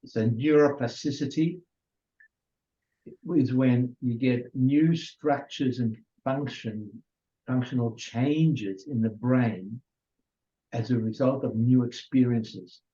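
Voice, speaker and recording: An older man whose first language is Hungarian, giving an informal biology lecture in an unhurried way, with restarts and fillers. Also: medium pitch, mellow. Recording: dull lo-fi recording.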